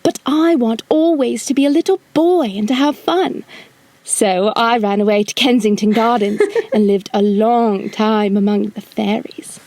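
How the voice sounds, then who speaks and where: Woman as slow-spoken, cocky young boy. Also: on the high side, a little mellow. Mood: wistful, confiding. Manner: theatrical, childlike, cocky. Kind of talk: audio drama acting, also narrating own stage actions.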